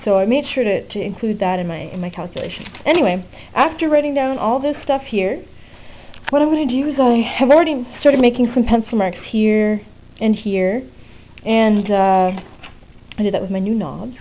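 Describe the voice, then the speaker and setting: A woman's voice: on the high side, moderate pace. A woman narrating an informal hands-on DIY tutorial at a desk, explaining things in a conversational way into a handheld camera's microphone. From outside the US. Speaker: DIY electronics video maker. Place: indoors at a desk. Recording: muffled phone-like lo-fi audio, noticeable noise.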